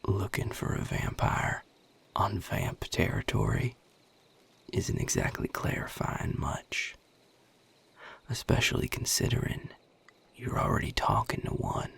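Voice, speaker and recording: Man acting as a guarded male vampire, very husky, slow Southern US drawl, sardonic, gruff, audio roleplay. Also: a bit bright, exaggerated voice. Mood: weary, curt. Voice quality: gravelly, husky, breathy low voice. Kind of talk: audio roleplay addressed to the listener.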